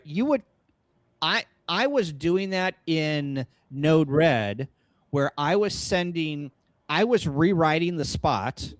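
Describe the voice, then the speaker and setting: A man's voice: a little high, no room sound. Dry-sounding male ham radio host. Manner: thinks aloud with many restarts. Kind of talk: casual technical chat.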